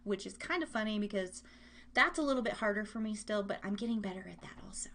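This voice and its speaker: A woman's voice: mid-range voice, animated, fast, a bit bright, a little hiss or hum. A woman hosting a live online radio show in casual chat, playful and rambling, often interrupting herself as she talks straight to listeners. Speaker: live radio and podcast host.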